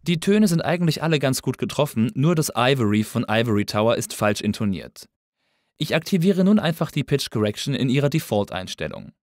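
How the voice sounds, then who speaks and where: A man narrating a scripted tutorial as an instructor, explaining things clearly and methodically. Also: mid-range voice, big pitch swings, a little fast, dry recording, clean studio sound. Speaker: audio engineering instructor.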